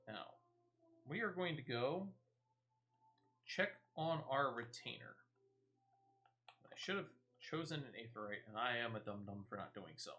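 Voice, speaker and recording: A man's voice: mid-range voice, a bit bright. A man giving casual, chatty gameplay commentary, thinking aloud with a playful, mildly self-deprecating touch. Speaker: gaming streamer.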